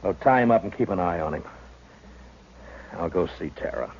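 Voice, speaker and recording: An American actor playing a stern, calm Old West marshal in a 1950s radio western, measured and laconic. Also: male voice, low-pitched, a little hiss or hum. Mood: grave, subdued. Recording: dull old radio recording, music behind.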